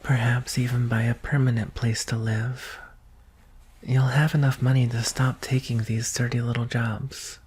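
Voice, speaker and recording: Man acting a breathy, whispery young alien prince. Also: medium pitch, little pitch movement. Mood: earnest, affectionate. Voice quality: soft, breathy, often whispering. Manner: hesitant, earnest, slow with long pauses. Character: gentle, vulnerable young alien prince, captive and tender. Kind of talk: ASMR roleplay, soft intimate acting.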